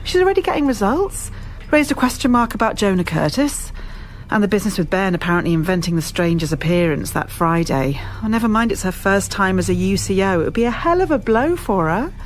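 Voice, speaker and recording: Woman as sardonic senior police officer, businesslike, brisk radio drama scene, faint background noise. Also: mid-range voice, very animated, natural voice, low-quality recording. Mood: concerned, brisk. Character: brisk, dryly sardonic senior policewoman weighing a risky operation. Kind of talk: naturalistic radio drama dialogue.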